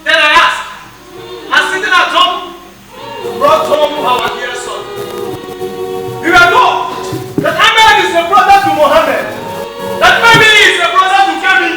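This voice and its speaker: A man delivers emphatic spoken word on a stage in a strikingly high voice. The lines are rhetorical and poetic. Music plays behind him, and the distant recording is washed in echo. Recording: echoey hall from afar, music behind.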